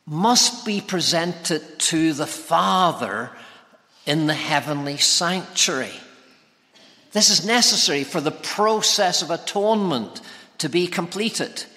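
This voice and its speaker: A man preaches in a forceful, bright voice pitched a little high for a male speaker. He delivers his sermon in measured, deliberate phrases with pauses between them. The long reverb of a big church hall trails his words. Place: large church interior, preaching to congregation.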